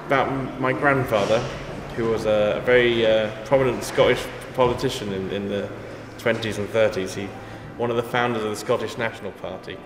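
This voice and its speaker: A man's voice: fairly low pitch, fairly even intonation, a little fast, hardly any pauses, noisy background. A man with an English accent, a composer talking in an interview, thoughtful and self-deprecating, often restarting his sentences. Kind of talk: casual interview. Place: echoey gallery hall. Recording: echoey hall, music behind.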